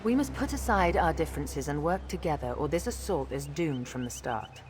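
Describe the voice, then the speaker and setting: An expressive young fantasy queen. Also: deep voice, moderate pace, soft background music. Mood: urgent. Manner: regal, imploring. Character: young, proud, earnest elven queen. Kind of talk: fantasy game dialogue acting.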